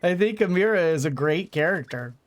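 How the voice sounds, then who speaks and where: A streamer commentates casually over a game in a middling pitch. The delivery rambles, thinking aloud as play goes on. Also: expressive, a little fast. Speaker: gaming streamer.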